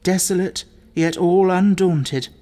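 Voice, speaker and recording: A male reader plays a grief-stricken man at the end of his wits in a Gothic poem. His voice is pushed high and loud, with theatrical, declamatory desperation in every phrase. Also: steady tone, slow pace. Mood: fierce, accusing. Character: grieving, tormented man pleading and raging in desperation. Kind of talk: dramatic Gothic poetry recital.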